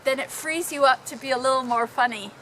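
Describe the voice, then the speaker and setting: A woman telling personal stories informally to a group, in an animated, dramatic manner. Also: high voice, a little fast, noisy background. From Canada. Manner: animated, dramatic, acting out exclamations. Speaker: storyteller.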